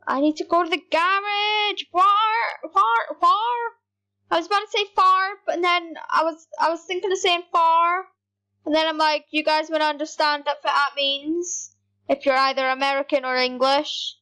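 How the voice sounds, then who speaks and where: A woman giving rambling, excitable commentary over her gameplay, talking straight to her viewers. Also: extremely high pitch, moderate pace. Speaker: gaming YouTuber.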